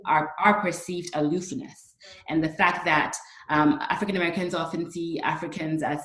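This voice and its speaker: Female panelist, thirties, low voice, measured explanatory delivery, echoey room. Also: fairly even intonation, fairly bright tone. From Ghana. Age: 30s. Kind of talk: considered panel remarks.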